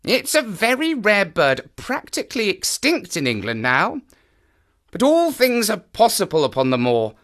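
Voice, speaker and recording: A man playing an eager male naturalist who knows the moors, explaining with animated enthusiasm. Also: high voice, unhurried, exaggerated voice. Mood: musing. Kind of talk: audio drama acting.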